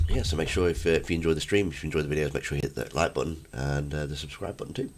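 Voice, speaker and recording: A man hosting a casual gaming live stream, his voice croaky from a cold, talking in a laid-back, halting way. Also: rumbling low, soft background music.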